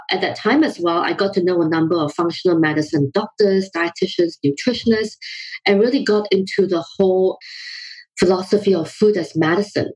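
A middle-aged woman from Singapore, a company founder, answering questions as a podcast guest, measured and quick to laugh. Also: medium pitch, darkish tone, husky, poor audio. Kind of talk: remote podcast interview.

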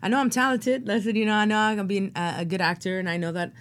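A woman's voice: medium pitch, level tone, rapid-fire. A woman who is an actor and playwright, speaking as an interview guest in a rambling, reflective way with many fillers. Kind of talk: interview conversation.

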